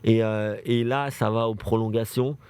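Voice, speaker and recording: A man's voice: lowish voice, steady tone, normal speed, darkish tone, clean tone, dry recording. A man in his thirties from France, a football show presenter, telling stories in casual talk and repeating phrases for emphasis. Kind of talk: casual chat on a livestream.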